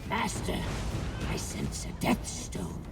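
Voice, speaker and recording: An actor voicing a small, servile creature companion in a fantasy video game, inquisitive and suspicious, with odd halting phrases. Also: male voice, distant mic, over loud music, natural voice. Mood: curious, servile. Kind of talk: video game character dialogue.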